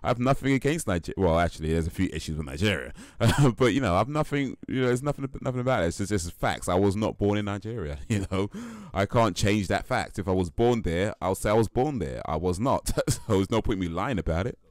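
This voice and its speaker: Low-voiced guy chatting on his stream, laughs a lot, lots of ums, dead dry sound. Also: expressive, quick pace, hardly any pauses, a little mellow, dry recording. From United Kingdom. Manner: laid-back, laughing, lots of fillers and repeats. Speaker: livestream host. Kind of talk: casual chat with stream viewers.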